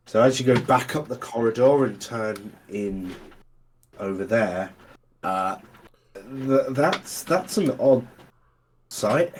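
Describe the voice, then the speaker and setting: Low-pitched, unhurried game master who thinks aloud, with faint hiss behind him. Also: male voice, poor audio. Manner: slow, hesitant, many pauses and fillers. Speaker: dungeon master. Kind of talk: narrating a tabletop game.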